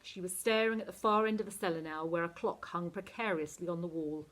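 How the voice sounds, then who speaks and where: A woman narrating a children's novel aloud in an expressive, animated teacher's reading style. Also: on the low side, brisk, rough recording.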